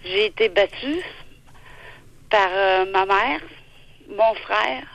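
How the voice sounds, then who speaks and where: A woman in her forties from Quebec with a Québécois accent, calling in and telling her story haltingly with many restarts. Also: mid-range voice, gravelly, a bit bright, dull audio. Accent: Québécois French. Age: 40s. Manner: halting, repeats herself, restarts often. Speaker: caller. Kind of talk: phone-in call.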